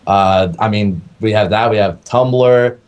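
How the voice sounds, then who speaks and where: Lowish-voiced man chatting as interview guest. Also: unhurried. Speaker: band's roadie and tech, interview guest. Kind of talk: casual chat.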